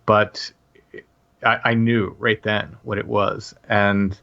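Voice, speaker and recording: A man in his late forties, a podcast guest, telling a personal story casually in a halting way with many restarts and fillers. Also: on the low side, level tone, vocal fry, muffled recording.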